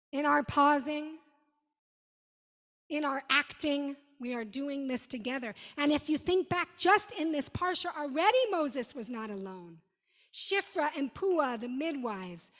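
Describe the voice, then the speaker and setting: A woman rabbi giving a sermon in an emphatic manner, with dramatic pauses and short repeated phrases. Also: sits high, fairly bright tone. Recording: long reverberant hall.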